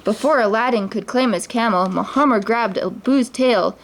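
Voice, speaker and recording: A woman's voice: on the high side, normal speed. Woman reading a children's storybook. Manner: gentle, with small slips and restarts. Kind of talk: storybook read-aloud.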